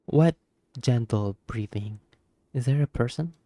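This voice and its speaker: A man's voice: mid-range voice, unhurried, mellow, no echo. A man giving casual gameplay commentary, reacting and repeating words to himself in a muttering way. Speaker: gaming video creator. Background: game music.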